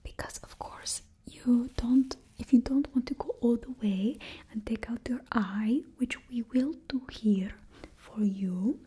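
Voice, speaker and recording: Young woman putting on a fake russian accent, fawning evil stylist whispering right up on the mic, slow and low. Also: mellow, breathy edge. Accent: put-on Russian. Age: young adult. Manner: close whispering, drops little words. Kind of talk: whispered ASMR roleplay. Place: small indoor studio with backdrop. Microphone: close mic, face near camera.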